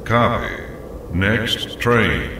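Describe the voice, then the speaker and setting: A man acts out a grave male pony in a fan-made audio story, speaking low and slowly as he dictates an urgent message. Heavy echo wraps the voice. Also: sing-song, exaggerated voice. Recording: very echoey, noisy recording.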